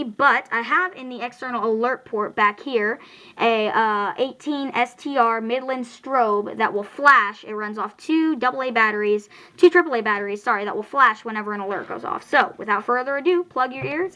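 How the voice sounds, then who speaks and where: An American speaker giving a casual, halting hands-on product review and tutorial, explaining things informally and correcting slips along the way. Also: medium pitch, pushed and bright, poor audio. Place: indoors at home.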